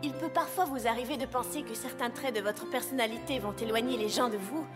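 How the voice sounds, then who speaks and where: Woman as a thoughtful, high-voiced cartoon pony. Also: fairly quick, music in the background, lightly acted. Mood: reflective. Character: thoughtful, kind young adult female pony. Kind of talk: French cartoon dubbing.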